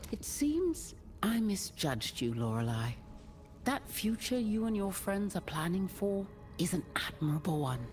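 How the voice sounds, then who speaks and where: A woman's voice: mid-range voice, very animated, unhurried, a little mellow, faint music behind. An actress playing a resolute, brave adventurer woman with a dry edge in a fantasy game. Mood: sincere. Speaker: player character. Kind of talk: scripted game voice acting.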